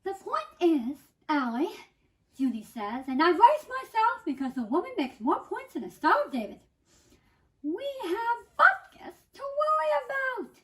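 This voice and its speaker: A woman with a strikingly high voice performs a comic monologue in a theatrical style. Her pitch swings widely from phrase to phrase. She takes her time, leaning on dramatic, emphatic pauses. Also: very animated, a bit bright, rough recording. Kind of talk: theatrical first-person comic monologue reading.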